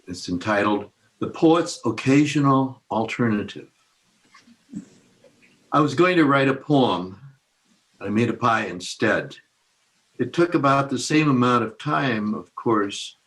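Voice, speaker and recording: A man reading a poem aloud in a measured, plain style. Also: mid-range voice, a bit bright, low-quality recording. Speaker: reader.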